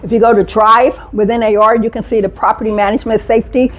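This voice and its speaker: A woman speaks from the front of a conference room, her voice pitched a little high. She talks with energy and keeps the audience engaged, often pausing to put questions to the room. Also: fairly even intonation, slight background noise. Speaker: safety speaker. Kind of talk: live conference talk to an audience.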